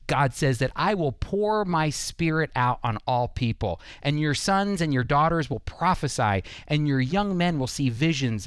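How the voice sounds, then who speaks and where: A man with a fairly high-pitched voice delivers a prepared sermon. He teaches in an earnest, instructive way, addressing the listener directly. The sound is dry, with hardly any room around the voice. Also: dry recording. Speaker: preacher hosting a Bible teaching program.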